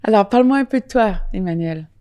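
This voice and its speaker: A woman's voice: medium pitch, expressive, quick pace, dark tone. A middle-aged woman hosting an interview, friendly and rambling as she asks questions with restarts. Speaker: podcast host. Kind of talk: casual interview.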